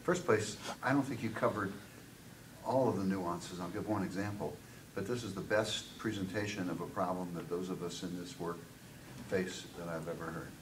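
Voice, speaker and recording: A man in the audience of a seminar, commenting in a discursive, deliberate way with many asides. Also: deep voice. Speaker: audience member, college tutor. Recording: distant mic, noisy room.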